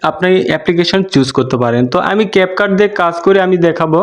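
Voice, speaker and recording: Fast-talking man, high-pitched tutorial host. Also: lively intonation, reverberant, muffled recording. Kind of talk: scripted tutorial narration with sung demonstration.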